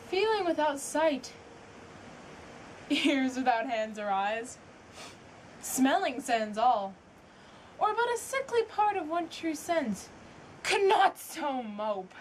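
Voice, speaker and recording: Woman doing an anguished shakespeare prince, accusing his mother, slow dramatic verse, highish voice. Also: slight background noise. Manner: declamatory, impassioned, deliberate. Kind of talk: stage Shakespeare monologue acting.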